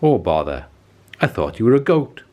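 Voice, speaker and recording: Storybook troll, played by a man: grumpy, hungry, gruff bellower, low-voiced and very animated. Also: moderate pace. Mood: disappointed. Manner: roaring, bellowing challenges and gruff grumbles. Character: grumpy, hungry male troll lurking under a bridge. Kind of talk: children's storybook character voice.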